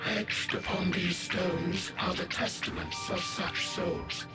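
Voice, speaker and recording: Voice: mid-range voice, steady tone, takes it slowly, lightly acted. An actor playing a grim, condescending ancient alien being in a video game, making solemn, archaic pronouncements. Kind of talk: scripted video game acting. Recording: game audio under loud music.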